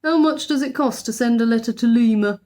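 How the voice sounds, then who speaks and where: A woman's voice: a little high, brisk, darkish tone, pitched above the performer's own voice, natural voice. A woman voicing a cheeky, easily impressed young schoolboy in a storybook, with boyish exclamations. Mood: curious.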